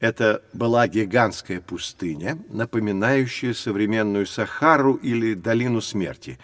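A man playing a patient science teacher who guides children, explaining in a measured way in an educational audio drama. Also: on the low side, a bit bright, no room sound, close to an everyday voice. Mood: measured, explanatory.

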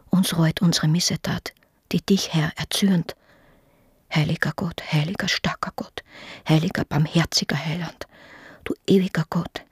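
A woman in love speaks in a radio play with a low, husky voice. She is earnest and intimate, pressing her questions insistently. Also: darkish tone, full character voice. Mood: hushed, prayerful. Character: earnest, probing woman in love with a married man. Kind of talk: German-language radio play acting.

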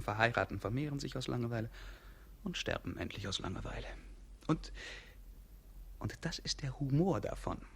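An actor playing a bored, melancholy young prince in a 1950s radio play, languid and ironic. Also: male voice, on the low side.

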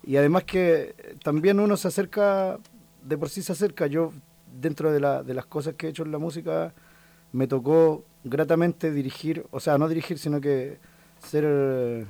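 A man's voice: fairly high pitch, steady tone, normal speed, gravelly, warm tone. A Chilean man in his thirties, a folk singer talking in a casual interview, hesitant and full of restarts. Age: 30s. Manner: hesitant, many restarts and fillers.

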